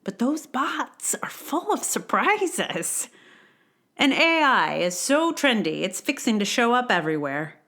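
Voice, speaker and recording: A woman's voice: on the high side, very animated, unhurried, sharp tone. A woman hosting a podcast, reading a personal essay in a conversational, wry and self-deprecating way with emphatic turns. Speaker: podcast host.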